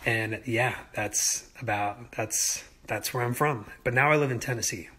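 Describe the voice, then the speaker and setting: A man's voice: medium pitch, breathy edge. A young man in casual livestream chat from home, talking easygoing and rambling into a phone held at arm's length. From United States. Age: young adult. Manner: easygoing, rambling, slow-paced, lively. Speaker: singer answering fan questions. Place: indoors at home.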